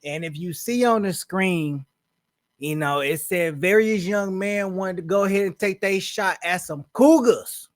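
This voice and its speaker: A man's voice: sits high, a little slow, no room sound, studio quality. A man hosting a reaction video, talking in a loose, joking, slangy way. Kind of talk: casual reaction commentary.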